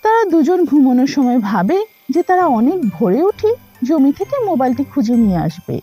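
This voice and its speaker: A woman's voice: high-pitched, sing-song, moderate pace, mellow, slightly rough, faint music behind. A woman narrating a scripted children's story.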